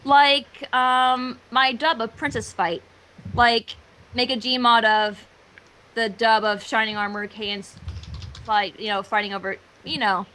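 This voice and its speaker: A woman's voice: on the high side, steady tone, slow pace, sharp tone, low-quality recording. A woman chatting casually on an online voice call in an eager, tentative way. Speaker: amateur voice actor, livestream guest. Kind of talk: casual chat.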